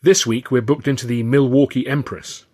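A man's voice: mid-range voice, fairly even intonation, normal speed, darkish tone, close to an everyday voice. A man voicing a wry, resentful English vaudevillian with a pointed manner, in an audiobook.

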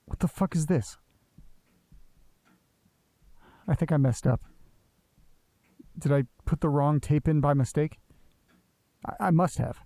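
A man's voice: on the high side, normal speed, pauses often, warm tone, close to an everyday voice. An actor playing a rattled, needy man who talks in a hesitant, jittery way in a naturalistic audio drama. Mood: alarmed, frustrated. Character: anxious, sweary male tape listener, needy and rattled.

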